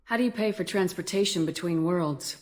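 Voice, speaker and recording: An actress playing a steady, determined military commander in a video game, speaking in a direct, plain-spoken way. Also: female voice, on the low side, fairly quick, natural voice. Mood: probing. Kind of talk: dramatic video game dialogue.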